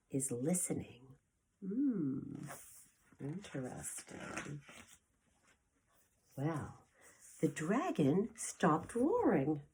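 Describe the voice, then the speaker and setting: A woman's voice: lowish voice, a little mellow, husky. A woman reading a picture book aloud to young children theatrically, like a preschool teacher, with asides to the listeners.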